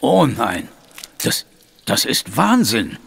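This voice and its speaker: An actor playing a gruff, skeptical Victorian police inspector in an audio drama, blunt and exclamatory. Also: male voice, medium pitch, big pitch swings, slow, a bit bright, full character voice. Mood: shocked. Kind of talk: German audio drama acting.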